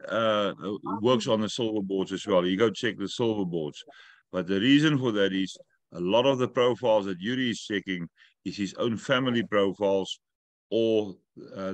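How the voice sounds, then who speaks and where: A middle-aged man, not a native English speaker, talks through a video call from home in a fairly low, dry-sounding voice. He jokes with the group and then explains the steps at length. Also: moderate pace, no echo. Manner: talkative, joking, instructive. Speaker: host of a weekly update call. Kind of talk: casual video call chat. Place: room at home.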